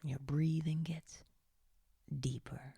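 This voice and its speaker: A woman leading a guided meditation in a hushed, soothing, breathy and often whispered voice, coaxing gently with long pauses. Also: deep voice, fairly even intonation, no echo, studio quality. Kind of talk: slow guided meditation narration, partly whispered.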